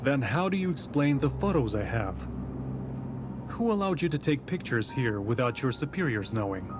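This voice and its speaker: An actor playing a composed, authoritative nineteenth-century detective with a polite, formal, measured way of talking in a visual novel. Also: male voice, mid-range voice, big pitch swings, background noise, close to an everyday voice. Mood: businesslike, probing. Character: composed, courteous, authoritative 19th-century male detective from the capital. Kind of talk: scripted visual novel voice acting.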